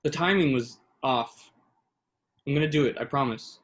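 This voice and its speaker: An actor plays a nervous would-be small business owner in a play reading. He is a man with a medium-pitched voice, hesitant and evasive in how he talks. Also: moderate pace, fairly bright tone, natural voice. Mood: evasive, uneasy. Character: nervous, evasive male aspiring food-truck owner.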